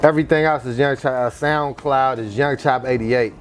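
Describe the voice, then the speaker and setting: A man's voice: medium pitch, steady tone, normal speed. A man from East Oakland with an Oakland accent, chatting casually and in slang as an interview guest. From East Oakland, California. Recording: clear background noise, ambience.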